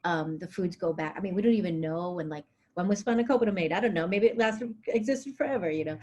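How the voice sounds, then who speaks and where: A woman raised partly in Greece, with Greek as her first language, speaking in an interview over a video call, often pausing with fillers and restarting her sentences. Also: fairly low pitch, a little mellow, breathy edge. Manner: hesitant, many fillers and restarts. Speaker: author being interviewed. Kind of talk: conversational interview.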